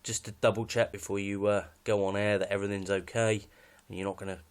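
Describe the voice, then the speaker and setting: Low-pitched British man, casual gear walkthrough. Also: little pitch movement, quick pace, clean tone. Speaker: hobbyist presenter showing radio kit.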